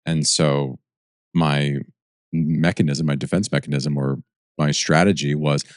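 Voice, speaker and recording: Man with an extremely deep voice, reflective. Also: steady tone, a little slow, no room sound, studio quality. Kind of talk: podcast conversation.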